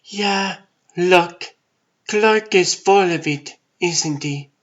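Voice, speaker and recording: A man's voice: high voice, extremely slow, fairly bright tone, lo-fi sound, full character voice. A man playing a sceptical, resentful newspaper reporter in a comic book dub. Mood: resentful, sarcastic.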